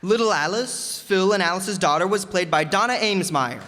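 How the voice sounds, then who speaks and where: A man's voice: high-pitched, moderate pace. A man announcing cast credits in old-time radio style to an audience in a town hall. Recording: echoey hall, crowd, noisy background.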